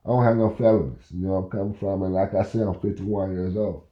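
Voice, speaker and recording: A man's voice: deep voice, fairly even intonation, fast. A man in his fifties telling a rambling story in casual talk, often checking in with "you know where I'm coming from.". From Oklahoma. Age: 50s. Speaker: podcast host. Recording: muffled, echoey room.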